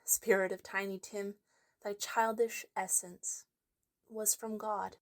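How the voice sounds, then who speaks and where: An actress playing a solemn stage narrator, reciting in a measured theatrical way. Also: female voice, mid-range voice, level tone, slight background noise. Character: solemn female stage narrator of a Christmas tale.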